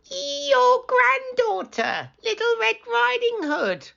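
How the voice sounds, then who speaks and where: Woman as disguised storybook wolf, very high squeaky falsetto, sly, sugary false sweetness, swooping. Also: sing-song, slow, creaky, pushed and bright, highs cut off, exaggerated voice. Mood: falsely sweet. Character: sly male wolf impersonating a little girl or granny.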